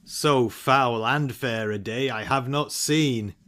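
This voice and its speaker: A man playing a commanding, ambitious warrior lord in a dramatic Shakespeare reading, speaking deliberately. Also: mid-range voice, fairly bright tone. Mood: wary.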